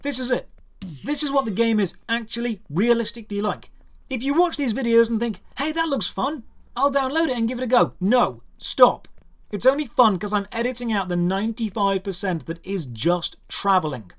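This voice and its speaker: A man's voice: very high voice, expressive, normal speed. A man narrating a scripted video game review in a dry, sarcastic way, with touches of theatrical emphasis.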